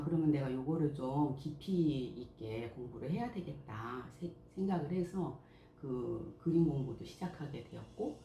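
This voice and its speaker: Deep-voiced woman in her sixties, measured. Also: warm tone. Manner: slow, measured, modest storytelling. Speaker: elementary school principal and picture book author. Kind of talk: interview answers. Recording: echoey room, faint background noise.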